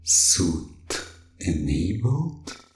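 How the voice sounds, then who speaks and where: A man's voice: sits low, big pitch swings, drawn-out words, slight background noise, exaggerated voice. A man voicing a cold factory system in a hypnosis role-play, issuing clipped, impersonal phrases. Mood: cold, commanding. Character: cold factory machine programming drones, robotic system announcer. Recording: very echoey voice over music.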